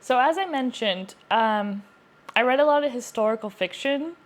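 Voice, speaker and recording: A woman's voice: fairly high pitch, animated, moderate pace. A woman hosting a casual, chatty book review to camera, speaking earnestly and repeating words for emphasis. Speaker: book reviewer, video host.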